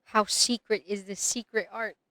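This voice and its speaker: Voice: medium pitch, steady tone, normal speed, bright, forceful tone, no echo. A game streamer making casual, flippant, joking live reactions while playing. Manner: wry, flippant.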